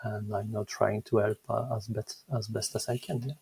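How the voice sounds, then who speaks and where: A Belgian man in his fifties with a French accent, a podcast guest answering questions in a thoughtful, halting way as he searches for words. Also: fairly low pitch, flat delivery, gravelly, a little mellow, slightly rough. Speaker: guest, software engineer. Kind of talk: interview answers.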